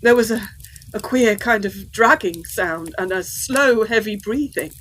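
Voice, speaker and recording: An actress playing an anxious, refined young Victorian gentlewoman in a gothic audio drama, with dramatic delivery. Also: medium pitch, measured pace, slight background noise, close to an everyday voice, rough recording. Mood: shaken, distressed. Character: distraught, well-bred young Victorian gentlewoman, devoted daughter.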